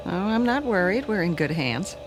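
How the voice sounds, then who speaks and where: An actress playing a young, unflappable flight attendant in a radio drama, chatting in a playful, gossipy way. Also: female voice, medium pitch, sing-song, moderate pace, natural voice, rough recording. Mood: cheerful. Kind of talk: vintage-style radio drama acting.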